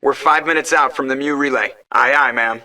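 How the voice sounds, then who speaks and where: A man voicing a brisk starship pilot in a video game, calling over an intercom. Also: mid-range voice, steady tone, sharp tone, distant mic. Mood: brisk, businesslike. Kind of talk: video game radio announcement.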